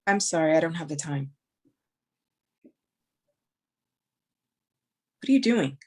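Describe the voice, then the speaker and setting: An actress in a staged play reading, playing a stern former police chief who answers in short, cold, clipped lines. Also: female voice, low voice, animated, fast. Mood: curt.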